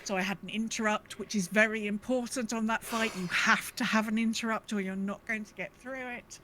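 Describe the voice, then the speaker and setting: A woman's voice: mid-range voice, steady tone, fairly quick, pushed and bright, a little hiss or hum. A woman co-hosting a podcast, chatting casually about gaming in a rambling, self-correcting way. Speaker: podcast co-host.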